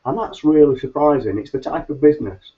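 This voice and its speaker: A middle-aged man, nasal and a little hoarse from hay fever, hosting a solo business webcast in a rambling way with many ums and restarts. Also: fairly high pitch, a little fast. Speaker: business consultant host. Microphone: webcam mic on a video call. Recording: slightly dull lo-fi webcam audio.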